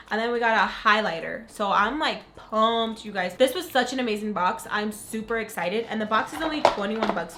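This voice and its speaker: Young woman kinda sick, raspy but bright voice, super hyped about makeup. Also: medium pitch. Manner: gushing, upbeat, says "freaking" a lot. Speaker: beauty vlogger. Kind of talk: casual unboxing chat to camera.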